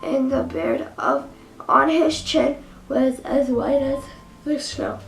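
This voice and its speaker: A woman recites verse dramatically in a high voice with a creaky edge. Her reading is deliberate and halting. It sounds roomy, with gentle holiday music underneath. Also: fairly even intonation. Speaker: reader in a dramatic poem reading. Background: jazzy Christmas music.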